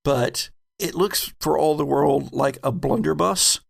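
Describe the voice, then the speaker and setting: Male game master: heavily gravelly, unhurried, deliberate storyteller. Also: a little high. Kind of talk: narrating a tabletop game.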